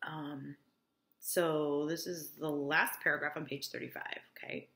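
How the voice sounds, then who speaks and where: A woman's voice: sits low, little pitch movement, measured pace, creaky, fairly bright tone. A woman teacher reading a novel aloud and explaining it informally, often checking that listeners follow. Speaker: English teacher.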